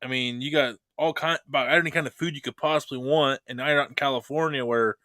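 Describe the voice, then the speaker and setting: An easygoing man hosts a casual chat, hedging with fillers and teasing his guests. His voice is bright and forward, and the sound is dry. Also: medium pitch, fairly even intonation, fast, no echo.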